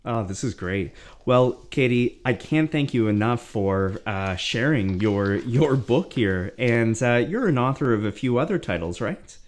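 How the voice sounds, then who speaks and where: A man's voice: medium pitch, expressive, unhurried. A man hosting a casual interview, encouraging his guest and phrasing things hesitantly. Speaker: host.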